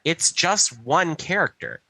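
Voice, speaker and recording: A man with a bright, firm voice in a very dry recording. He co-hosts a podcast and lays out his reasoning in a conversational, explanatory way, checking in as he goes. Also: a little high, animated, slow, dry recording, rough recording. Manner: explanatory, checks in with "right" and "you know". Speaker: podcast co-host. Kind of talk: casual explanatory discussion.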